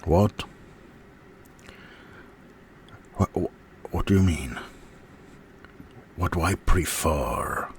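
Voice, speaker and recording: A man voicing a sulky, offended male werewolf in an ASMR roleplay, halting and aggrieved, often dropping into whispers. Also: low-pitched, a little hiss or hum. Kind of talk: ASMR character roleplay monologue.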